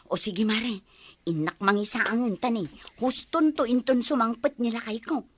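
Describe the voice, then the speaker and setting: An actress with a Filipino Ilocano accent playing a dramatic, complaining village wife in broad radio comedy. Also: female voice, on the high side, a little slow, husky, no echo. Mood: gossipy.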